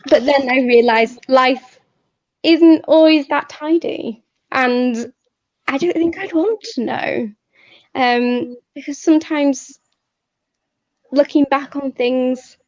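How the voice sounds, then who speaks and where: A woman hosting an event, talking reflectively and informally in a thoughtful, halting way. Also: high voice. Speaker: event host and poet. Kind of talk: reflective informal talk to an audience. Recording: echoey, slightly muffled sound.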